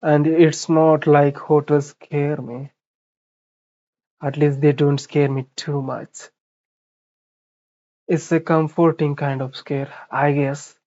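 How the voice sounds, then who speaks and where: Slow-paced streamer reading a story aloud. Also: medium pitch, level tone, mellow, muffled recording. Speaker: streamer playing a visual novel. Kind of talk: reading game narration aloud with casual asides.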